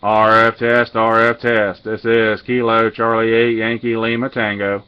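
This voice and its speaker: A man giving a casual hands-on technical walkthrough as a radio hobbyist, halting and matter-of-fact, picked up on a handheld camera mic. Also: lowish voice, little pitch movement, clean tone, no room sound, dull audio. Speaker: amateur radio hobbyist demonstrating a modified radio.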